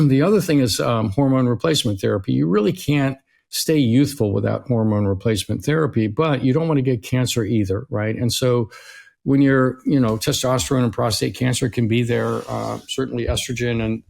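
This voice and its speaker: A middle-aged male physician being interviewed, explaining health topics at length and often checking in with "right?". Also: mid-range voice. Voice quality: gravelly, husky. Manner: steady explainer who often tags "right?". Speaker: longevity physician guest. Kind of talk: conversational explaining.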